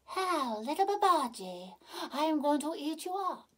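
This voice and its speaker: A woman's voice: high-pitched, very animated, unhurried, voice pushed up. Storybook tiger, male, boastful, pompous, raised voice, bouncy delivery, woman doing the voice. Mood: menacing.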